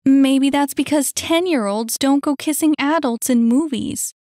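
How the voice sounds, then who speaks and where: Woman doing a high pitched defiant girl from a kids story, super indignant, sharp and snappy. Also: expressive, takes it slowly, no echo, clean studio sound. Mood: irritated, defiant. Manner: sharp, exclamatory, challenging. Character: feisty, indignant girl on the run, suspicious and defiant.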